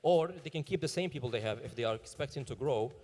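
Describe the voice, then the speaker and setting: A man pitching his startup and answering questions on stage, talking fast with many fillers and restarts. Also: animated, a little mellow, big-room sound.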